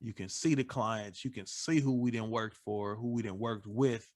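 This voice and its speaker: A man's voice: fairly low pitch, fairly even intonation, normal speed. A man talking casually as a guest on a live stream, laid-back and peppering his speech with "man". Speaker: video producer guest. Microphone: phone or computer live stream.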